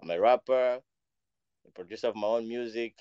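A young man from Zambia, a podcast guest, talking in a relaxed, hesitant way. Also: mid-range voice, fairly quick, clean tone, no room sound, highs cut off. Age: young adult. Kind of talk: casual chat.

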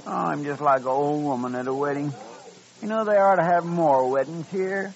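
Casting: man playing simple-hearted, deferential frontier helper, rural American twang, raised pitch, dull vintage radio recording. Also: normal speed, natural voice. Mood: flustered. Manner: folksy, deferential, rambling. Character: folksy, eager, simple-hearted deputy sidekick. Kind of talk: 1950s radio western acting. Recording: dull old radio recording, faint music.

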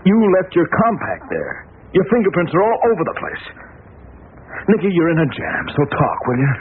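An actor playing an earnest young amateur detective in a 1930s radio drama, questioning with urgent concern. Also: male voice, fairly high pitch, wildly swooping pitch, moderate pace, slightly rough, heavily acted. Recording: tinny, faintly hissy 1930s radio recording.

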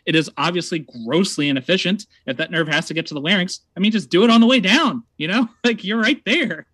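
Fast-talking wry male debater. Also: high voice, a bit bright, no room sound. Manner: emphatic, wry and argumentative. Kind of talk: prepared debate argument.

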